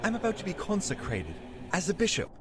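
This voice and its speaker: A man playing a courteous medieval archbishop saint in a children's audio drama, formal, grave and gentle. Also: sits high, wildly swooping pitch, a crowd in the background. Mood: grave, reflective. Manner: formal, measured, dryly polite. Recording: music under the voice.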